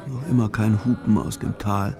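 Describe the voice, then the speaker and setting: A man narrating a literary audio drama in measured, clipped, matter-of-fact phrasing. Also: fairly low pitch, fairly even intonation. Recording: music behind the voice. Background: music.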